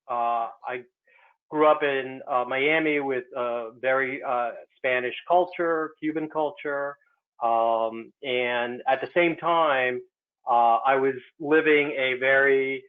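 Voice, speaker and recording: A man, an artist, speaking in a casual online talk, hesitant and full of fillers. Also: medium pitch. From United States. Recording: tinny phone-like line.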